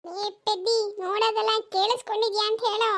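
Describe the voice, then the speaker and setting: Casting: performer to play a hot-tempered husband and father for comedy cartoon, absurdly high voice, constantly grumbling and scolding. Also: little pitch movement, quick pace, creaky, noisy background. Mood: exasperated. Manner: scolding, complaining.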